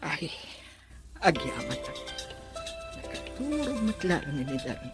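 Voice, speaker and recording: A woman's voice: deep voice, big pitch swings, slow pace, loud music behind the voice, full character voice. A Filipino woman playing an anxious, coaxing mother of a grown son in a radio drama. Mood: weary, muttering.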